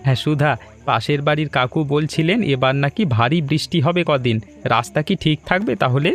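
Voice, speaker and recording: A man voicing a considerate, agreeable young husband and caring son-in-law in an animated story. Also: on the high side, brisk, faint music behind, close to an everyday voice. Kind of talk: Bengali animated story dialogue.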